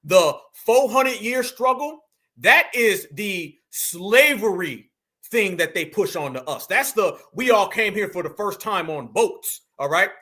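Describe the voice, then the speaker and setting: Emphatic high-voiced man commenting on clips. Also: animated, measured pace, bright, forceful tone. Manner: emphatic, addresses viewers as family. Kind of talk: casual reaction commentary.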